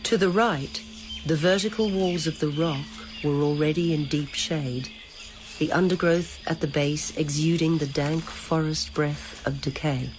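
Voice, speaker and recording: A woman narrating a literary story in a measured, deliberate, dramatic style. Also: deep voice, steady tone, over music.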